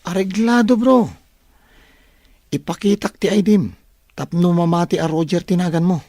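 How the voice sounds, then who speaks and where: High, gravelly young man played by an actor. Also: steady tone, normal speed, a little mellow, no room sound, studio quality. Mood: eager, obliging. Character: eager, helpful young male student, loyal buddy. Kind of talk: Ilocano radio drama acting.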